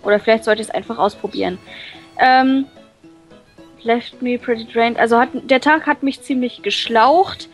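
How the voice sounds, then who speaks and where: A woman reading a visual novel aloud and translating it on the fly, halting and full of fillers. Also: a little high, faint music behind. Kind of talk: casual let's-play reading.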